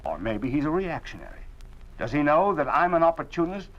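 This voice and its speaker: An actor playing an anxious middle-aged father in a 1950s radio drama, earnest and halting, often repeating words. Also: on the high side, big pitch swings, a bit bright. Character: worried middle-aged family father under a regime. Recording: dull old 1950s radio recording.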